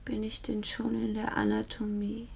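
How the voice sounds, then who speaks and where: Despairing young woman, husky, creaky, dark half-whisper, bitter, radio play. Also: mid-range voice, completely flat delivery, normal speed. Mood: dazed. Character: weary, despairing young woman rescued from drowning. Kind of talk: radio drama acting.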